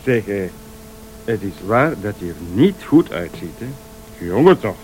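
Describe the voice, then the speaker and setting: An actor playing a jovial, tipsy late-night visitor who rambles and exclaims in a radio thriller. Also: male voice, lowish voice, sing-song, measured pace, darkish tone, slight background noise, low-quality recording. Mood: blithe, chatty.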